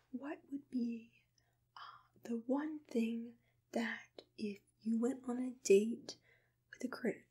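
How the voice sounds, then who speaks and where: A woman whispers softly and gently in an ASMR roleplay, asking questions in an attentive, breathy voice close to the microphone. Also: a little high. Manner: soft-spoken, gentle, attentive personal tone. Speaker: ASMR roleplayer playing a monster matchmaker. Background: computer keyboard typing.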